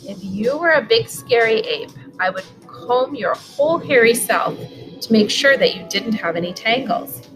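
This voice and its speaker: A woman's voice: a little high, lively intonation, moderate pace, low-quality recording. A woman, reading a picture book aloud at home. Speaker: school superintendent reading aloud. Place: living room sofa, indoors. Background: music.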